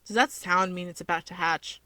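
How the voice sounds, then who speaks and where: A woman voicing a gruff, cocky young male wizard in a story reading, blunt and sardonic as he teases. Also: on the low side, fairly even intonation, fairly quick, pushed and bright, dry recording, pitched below the performer's own voice. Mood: wary, questioning. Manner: blunt, sardonic, self-assured. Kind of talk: fan fiction character reading.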